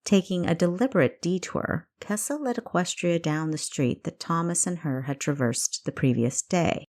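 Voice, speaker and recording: Low-pitched woman narrating a novel. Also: moderate pace, a little mellow. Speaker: author narrating own audiobook. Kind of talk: scripted fiction narration.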